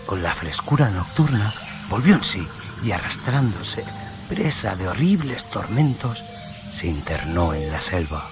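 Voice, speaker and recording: A man narrating a story in a dramatic radio-play style, solemn and suspenseful, with phrase endings that drop to a hush. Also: low voice, sing-song, measured pace. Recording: music bed under the voice. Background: music.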